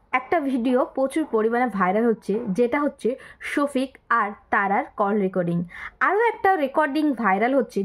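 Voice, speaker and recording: A woman hosting a casual commentary video, talking straight to viewers, repeating words for emphasis and mixing in English phrases. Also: fairly high pitch. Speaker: video host commenting on viral clips.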